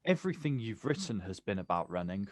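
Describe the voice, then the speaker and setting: An actor playing a bitter, protective older brother, confrontational and incredulous, in a naturalistic stage drama. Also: male voice, lowish voice, sing-song, quick pace, dry recording. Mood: subdued, reflective. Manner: confrontational, incredulous, clipped questions.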